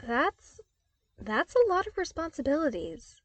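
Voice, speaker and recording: A woman's voice: high-pitched, big pitch swings, a little slow, no room sound, voice pushed up. A woman voicing an earnest, bewildered young male engineer who asks questions hesitantly in an audiobook drama. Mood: overwhelmed.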